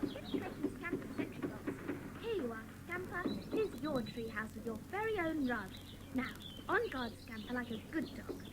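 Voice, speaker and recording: A woman's voice: high-pitched, big pitch swings, moderate pace, heavy background noise. A woman playing a capable, cheerful young schoolgirl in a dramatised children's audiobook, chirpy and practical. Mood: busy.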